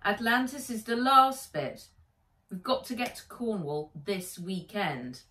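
A woman's voice: mid-range voice, lively intonation, unhurried, sharp tone, echoey room, distant mic. A woman voicing a decisive young girl adventurer in a storybook, emphatic and insistent. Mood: urgent.

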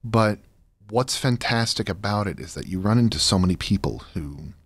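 A man's voice: fairly low pitch, animated, moderate pace, a bit bright, slightly rough, dry recording. Earnest man, close dry studio sound. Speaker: podcast guest, audio drama creator. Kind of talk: earnest conversational interview. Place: small podcast studio, indoors. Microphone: close studio microphone with headphones.

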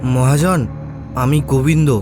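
A man's voice: medium pitch, fairly even intonation, measured pace, music in the background, lightly acted. A man voicing a poor, desperate fisherman and father in a horror cartoon, speaking earnestly and humbly. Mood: humble pleading. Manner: earnest, pleading. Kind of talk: animated horror story acting.

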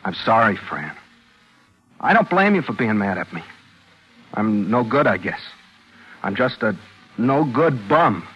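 An actor playing a guilt-ridden, obsessive ex-boyfriend in a 1940s radio drama, speaking with halting, emotional delivery. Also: male voice, lowish voice, sing-song, breathy edge, faint music behind, heavily acted. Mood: pleading, ingratiating. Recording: muffled vintage 1940s radio recording.

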